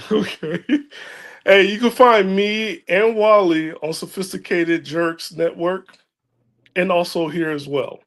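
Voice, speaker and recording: A man's voice: high voice, takes it slowly, fairly bright tone. A man hosting a casual podcast chat, joking and laughing often. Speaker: podcast host.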